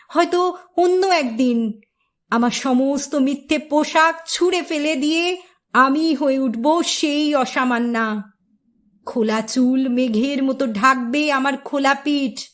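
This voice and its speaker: A woman delivers a dramatic poetry recitation in a high-pitched voice. Her pace is slow and measured, with theatrical emphasis on key words. She sets phrases apart with deliberate pauses.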